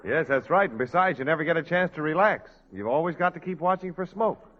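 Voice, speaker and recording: A man's voice: on the high side, expressive, fast. A man announcing on a 1940s radio show in a scripted, period broadcast style. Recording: muffled vintage radio, long hall reverb.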